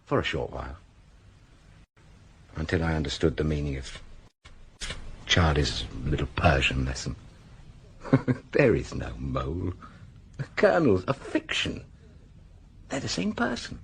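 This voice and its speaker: An actor playing an astute, world-weary police detective in a radio crime drama, with a husky voice and a deliberate way of explaining. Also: male voice, a bass voice, huge pitch swings, slight background noise, exaggerated voice. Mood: wry, accusing.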